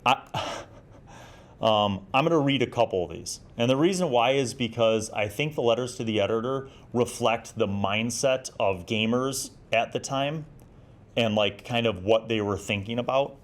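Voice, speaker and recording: A man in his forties giving a casual, nostalgic review in a conversational, halting way. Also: medium pitch. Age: 40s. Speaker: host of a gaming magazine review series.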